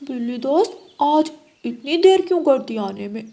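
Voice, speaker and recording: Young male crow for animation played by a woman: high-pitched but soft-edged, earnest, naive. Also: lively intonation, normal speed. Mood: curious. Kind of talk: children's animal cartoon voice acting.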